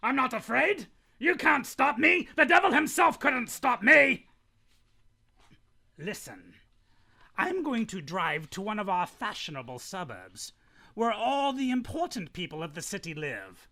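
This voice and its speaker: High, wild-swinging mad chemist, cackles and whispers then shrieks, old radio play. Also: male voice, sing-song, a little slow, creaky, bright, forceful tone, heavily acted. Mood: manic raving. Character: mad male city chemist poisoner, gleeful and paranoid. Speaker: voice actor. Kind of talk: old-time radio drama acting.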